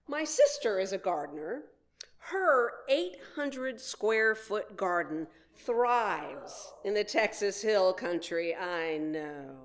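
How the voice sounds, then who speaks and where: A woman preacher delivers a sermon as personal storytelling. She takes her time, speaking at a markedly slow pace in a mid-range voice, with a conversational manner and self-deprecating humor. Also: medium pitch. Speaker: minister preaching.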